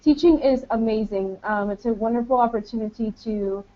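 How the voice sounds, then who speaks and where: An American woman in her twenties speaks informally as a panelist in an online session. Her voice sits a little high, and the sound is dull and lo-fi, like an internet call. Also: brisk, dark tone. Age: 20s. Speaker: English teaching auxiliary speaking as a webinar panelist. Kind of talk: informal self-introduction on a webinar. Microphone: online webinar call.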